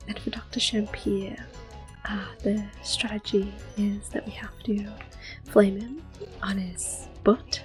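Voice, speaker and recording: A woman giving gentle, unhurried ASMR game commentary in a soft, breathy voice that often drops to a whisper. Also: medium pitch, level tone. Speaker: gameplay host. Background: video game music.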